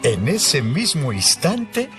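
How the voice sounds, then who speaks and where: A man narrating a dramatized Bible story as scripted narration, in a measured storytelling manner. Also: a little high, wildly swooping pitch, music in the background.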